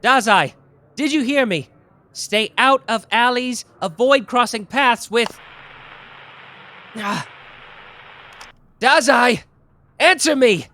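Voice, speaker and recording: A man voicing a sharp, playful young male detective in a listener ASMR roleplay, teasing one moment and grave the next. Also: very high voice, animated, full character voice. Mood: urgent. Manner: quick, teasing, theatrical shifts between cheeky and grave.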